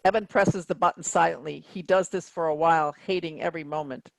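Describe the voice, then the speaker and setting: A man's voice: a little high, fairly even intonation, fairly quick, gravelly, dry recording, poor audio. A man reading stage directions as scripted narration for a play.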